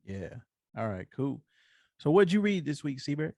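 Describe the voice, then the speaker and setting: A man's voice: fairly high pitch, very animated, moderate pace, vocal fry, darkish tone, no echo. A man co-hosting a podcast, chatting casually with short reactions and prompts. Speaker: comics podcast co-host.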